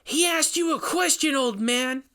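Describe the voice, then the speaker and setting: Man voicing a fiery, high-pitched captive woman. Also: a little slow, exaggerated voice. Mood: defiant fury. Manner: sharp, shouting, confrontational. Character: defiant, fiery young woman held captive. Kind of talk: storybook character voice in horror narration.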